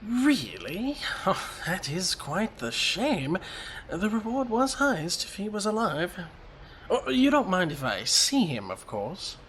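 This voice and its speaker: A man's voice: high voice, wildly swooping pitch, slow pace, fairly bright tone, slight background noise, full character voice. An actor playing a gleefully cruel madman sorcerer in an audio drama, theatrical, mock-polite and taunting. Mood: mocking, amused.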